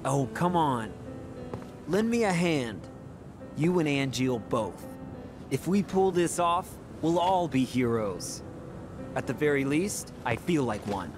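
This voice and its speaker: A man's voice: a little high, animated, slow, music in the background. A man voicing an earnest, idealistic young soldier hero in a video game, with a casual, open and emphatic manner. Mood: hopeful, playful. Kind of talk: dramatic video game voice acting.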